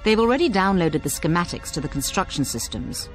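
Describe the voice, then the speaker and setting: An actor playing a brisk, technical military support officer, giving a precise, businesslike game briefing. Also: medium pitch, sing-song, soft background music, lightly acted.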